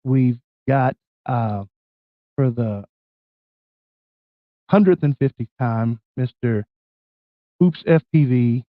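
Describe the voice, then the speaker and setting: A man with a scratchy, bronchitis-worn voice, casually hosting a live stream chat in a folksy, halting way. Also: mid-range voice, expressive, dry recording, narrow, tinny audio.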